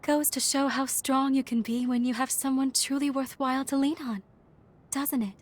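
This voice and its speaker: An actress playing a gentle young woman fighter in a game cutscene, sincere and heartfelt. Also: sits high, steady tone, moderate pace. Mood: earnest, tender. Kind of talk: dramatic game cutscene dialogue.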